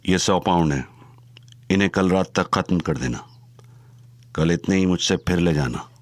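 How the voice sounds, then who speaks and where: A man playing a deep-voiced menacing crime boss. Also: moderate pace, close to an everyday voice. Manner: stern, threatening, scornful. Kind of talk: audio drama acting.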